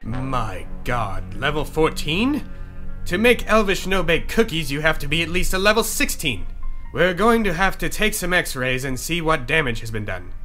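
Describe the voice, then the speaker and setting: An earnest, fussy doctor, acted by a man, in a pulp comedy audio play. His voice is bright and very varied in pitch, with music behind. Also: sing-song, moderate pace. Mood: alarmed. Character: earnest, easily flustered male doctor. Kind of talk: comedic pulp radio drama.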